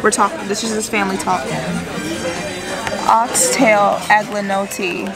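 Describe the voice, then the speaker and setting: A woman's voice: medium pitch, little pitch movement, a little slow, over loud music, over a noisy crowd, low-quality recording. A woman vlogger chatting casually and emphatically to her camera in a busy cruise ship restaurant. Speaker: travel vlogger.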